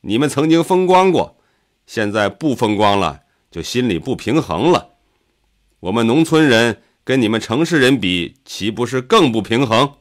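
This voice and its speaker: A man's voice: fairly low pitch, lively intonation, normal speed, close to an everyday voice. A man voicing an indignant, plain-spoken farmer in an audiobook, scolding with rhetorical questions. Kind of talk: audiobook character voice.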